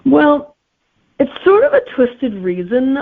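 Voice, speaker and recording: Middle-aged woman, creaky, on a phone-like line. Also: high voice, big pitch swings, takes it slowly. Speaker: guest author and teacher. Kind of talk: casual interview chat. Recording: narrow, tinny phone-like line.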